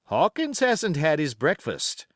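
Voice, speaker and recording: A man voicing a brisk, gruff sea captain in an audiobook, barking terse orders. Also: a little high, huge pitch swings, fairly bright tone, no echo, clean studio sound, pitched above the performer's own voice, heavily acted. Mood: commanding. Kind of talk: audiobook character acting.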